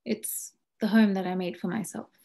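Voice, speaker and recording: A woman reads a dramatic monologue as an acting exercise. Her voice sits at an ordinary middle pitch for a woman. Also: fairly even intonation, moderate pace, vocal fry, mellow. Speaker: acting student reading a practice monologue.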